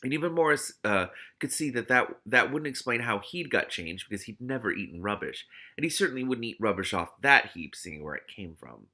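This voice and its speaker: Very bright, animated man reading aloud. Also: medium pitch, brisk, few pauses. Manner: animated, expressive, exclamatory. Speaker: host of a read-aloud commentary show. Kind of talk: reading a novel aloud with spontaneous commentary.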